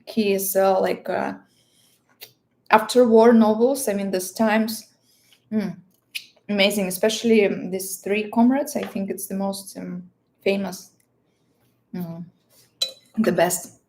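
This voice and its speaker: A woman's voice: mid-range voice, fairly even intonation, slow pace, long pauses, a little mellow, a little hiss or hum. A woman with a Russian accent, a native Russian speaker, chatting casually and playfully as she answers livestream questions, often searching for words. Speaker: livestream host.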